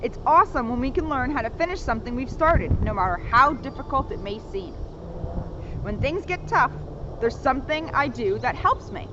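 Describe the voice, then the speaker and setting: A woman's voice: sits high, animated, normal speed, muffled recording. A woman voicing an earnest, encouraging young ninja from a children's storybook, coaching step by step, on a noisy outdoor recording.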